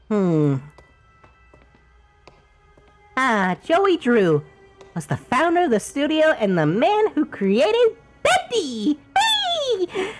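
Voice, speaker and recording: A woman's voice: a little high, exaggerated voice. A woman voicing a feisty, cheeky cartoon ink devil in exclamatory, muttering game commentary. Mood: suspicious, curious. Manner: exclamatory, muttering, repeats words. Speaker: gameplay host.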